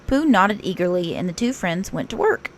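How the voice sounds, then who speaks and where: Animated woman reading a children's storybook. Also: on the low side, normal speed. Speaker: teacher reading aloud. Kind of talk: expressive children's storybook narration.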